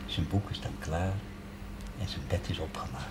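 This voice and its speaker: An actor playing a worried husband who gently soothes his sick wife in a hushed, reassuring way, in a 1970s Dutch television drama. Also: low-pitched, normal speed, natural voice. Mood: soothing, subdued. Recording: noisy old TV audio.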